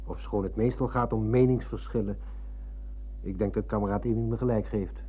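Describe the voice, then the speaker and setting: A man's voice: on the low side, fairly even intonation, a little fast, a little hiss or hum, lightly acted. An actor playing a formally polite, pedantic man who coolly probes and needles like an interrogator, in a Dutch radio drama. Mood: polite, placating. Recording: muffled, dull old radio recording.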